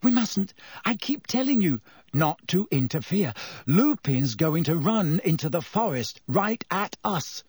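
An anxious, bossy teenage girl from an audiobook, voiced by a man who lifts her well above his own register. She talks in urgent, insistent half-whispers with a husky edge. The recording is dry and close. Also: sits high, big pitch swings, measured pace, darkish tone, no room sound, pitched above the performer's own voice, heavily acted.

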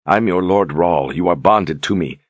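A man voicing a calm, steadfast young lord who speaks gently and reassuringly. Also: low voice, moderate pace, dry recording. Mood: firm.

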